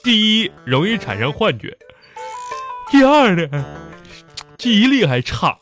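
Sleepy, put-upon husband for radio comedy: Northeastern Chinese accent, whiny grumble, very animated pitch, light music underneath. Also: male voice, unhurried, full character voice. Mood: weary coaxing. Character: sleepy, exasperated, henpecked husband kept awake by wife. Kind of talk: live comedy radio drama.

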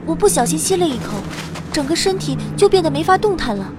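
High-voiced young fantasy heroine. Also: female voice, expressive, fast, noisy background. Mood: tense narration. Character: sensitive young heroine narrating her fantasy adventure. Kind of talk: dramatic scripted first-person narration.

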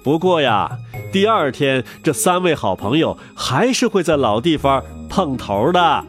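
A man narrating a children's story in an expressive, playful way. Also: fairly high pitch, measured pace. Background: music.

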